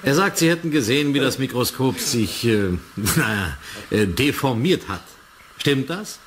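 An actor playing a smug, sardonic military commander in a German radio play, speaking with mocking condescension. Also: male voice, medium pitch, lively intonation, unhurried, fairly bright tone, a little hiss or hum. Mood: stern, sardonic.

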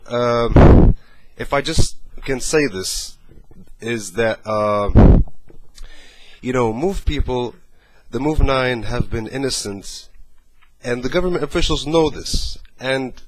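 A man's voice: mid-range voice, background noise. A man, talking earnestly with many fillers from a small bedroom, then pleading on a phone call. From Morocco. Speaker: activist caller.

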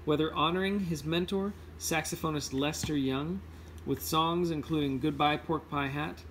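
A man with a slightly high voice reads a picture book aloud in an informal way. He sometimes trips on a word and fixes it as he goes. The amateur recording has faint background noise. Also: fairly even intonation, moderate pace, a bit bright, poor audio. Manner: informal, stumbles over words and corrects himself. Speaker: read-aloud host. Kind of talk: reading a children's picture book aloud with casual asides.

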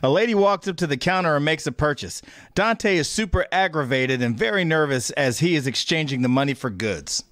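A man's voice: a little high, animated, fairly quick, a bit bright, no echo, clean studio sound. A man reading scripted stage directions as narrator of a comic table read. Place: indoor room on a video call. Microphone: close microphone on a video call.

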